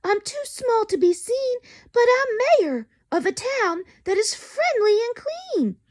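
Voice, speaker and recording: A woman's voice: very high voice, big pitch swings, slow, a little mellow, voice pushed up, exaggerated voice. A woman voicing a tiny, earnest, grateful town mayor with a thin, piping voice in a children's storybook. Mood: proud, thankful. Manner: earnest, polite.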